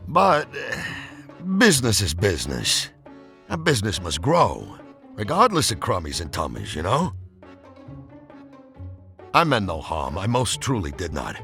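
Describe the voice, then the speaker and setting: A man's voice: low-pitched, huge pitch swings, unhurried, pauses often, bright, forceful tone, faint music behind, voice pushed down, full character voice. A man voicing a greedy, defiant factory tycoon in a storybook, emphatic and self-justifying in rhyme. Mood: unapologetic, defensive. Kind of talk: rhyming storybook character voice.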